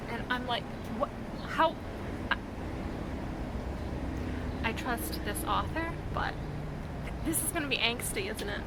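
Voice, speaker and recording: A woman talking casually in a reaction vlog, with a halting, expressive fangirl delivery and dramatic pauses. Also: fairly high pitch, very noisy. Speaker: vlogger sharing reactions to fan fiction.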